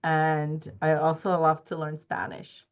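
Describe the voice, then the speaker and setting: Czech-born woman presenting, low voice, lots of "you know"s, kinda muffled audio. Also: completely flat delivery, measured pace. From Czech Republic. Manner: earnest, many "you know" fillers and restarts. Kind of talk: research presentation. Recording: dull lo-fi recording.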